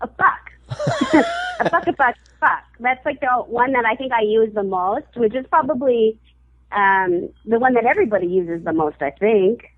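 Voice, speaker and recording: A woman guest on a comedy interview, chatting casually and halting often with fillers and restarts. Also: fairly high pitch, very animated, dry recording. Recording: dry, phone-like narrow sound.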